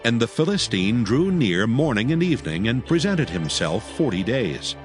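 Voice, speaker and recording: A man narrating scripture from a script in a solemn, measured storytelling style. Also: medium pitch, sing-song. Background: faint background music.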